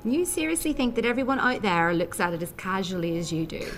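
A woman's voice: medium pitch, sing-song, quick pace, fairly bright tone, slight background noise. An actress playing an earnest, insecure late-blooming woman in a radio soap, with a wry way of talking. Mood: put out. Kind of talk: radio soap opera acting.

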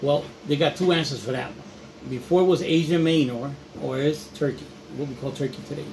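A man's voice: fairly high pitch, brisk. A middle-aged man chatting casually as he answers quiz questions at a table at home, picked up by a camera a little way off. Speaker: quiz contestant, the dad. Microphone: camera a short distance away. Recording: noisy homemade video, camera microphone.

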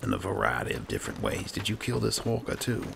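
A man with a gravelly voice giving low-key, casual roleplay commentary on a video game, as if talking to himself. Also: huge pitch swings, fast. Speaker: gamer playing in character. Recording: clear background game ambience noise.

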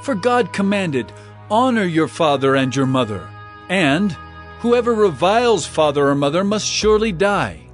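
A man's voice: high-pitched, big pitch swings, measured pace, soft background music. An actor playing an authoritative, reproving male teacher in a dramatized scripture reading, with emphatic, declamatory delivery. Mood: stern reproach.

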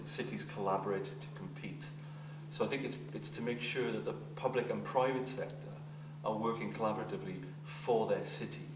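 A middle-aged man answering interview questions in an office room, speaking thoughtfully with frequent fillers and restarts. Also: on the low side. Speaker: keynote speaker on urban growth. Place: office room with plants, indoors. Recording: roomy, distant, with steady hum.

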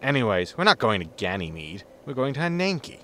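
A man playing a weary, dry-witted spaceship captain in a sci-fi comedy audio drama, deadpan in manner. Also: mid-range voice, fairly quick, slight background noise.

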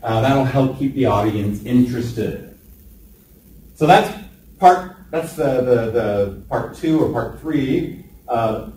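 A man in his forties leading a presentation skills workshop, calling on trainees by name and slowing down to stress his points. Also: medium pitch, lively intonation, darkish tone. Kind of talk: classroom workshop lecture. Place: large training room indoors. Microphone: room camera from a distance. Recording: echoey room, distant mic.

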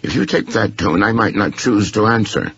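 A man voicing a courteous, shrewd, unflappable gentleman in a story, speaking formally and with deliberate precision. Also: on the low side, expressive, vocal fry, lo-fi sound, slight background noise, pitched above the performer's own voice. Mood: quietly firm.

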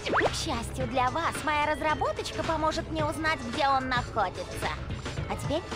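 A woman's voice: high-pitched, sing-song, moderate pace, music in the background. A woman voicing a smug, bratty teenage schoolgirl inventor in a game dub, talking in a sneering, mocking way. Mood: bossy. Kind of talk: Russian game dub acting.

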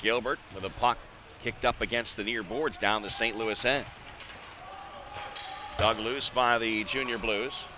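A man's voice: on the low side, steady tone, unhurried, long pauses. Male hockey play-by-play announcer, noisy arena. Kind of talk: live sports radio commentary. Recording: noisy live ice arena ambience.

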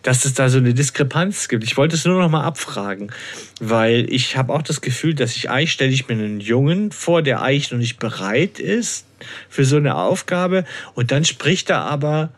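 A man chatting casually as a podcast co-host, in a colloquial, animated-storyteller way of talking. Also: mid-range voice, normal speed. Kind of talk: casual podcast discussion of an audio drama.

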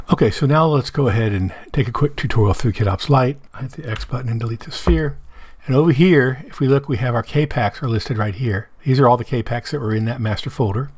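A middle-aged man with a hoarse voice, explaining a software tutorial step by step over his screen recording. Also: mid-range voice, dry recording. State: hoarse from medication.

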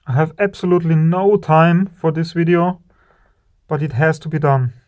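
A man hosting an electronics teardown video, slowly and admiringly commenting on the parts he finds. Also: fairly high pitch, steady tone, darkish tone. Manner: slow, admiring, savoring each part.

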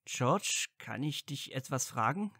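A man's voice: mid-range voice, lively intonation, slow pace, vocal fry, sharp tone, breathy edge, no echo, clean studio sound, full character voice. A man playing an earnest, loyal, easily flustered young wizard friend in an audio drama. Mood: flustered, curious. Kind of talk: fan fiction audio drama dialogue.

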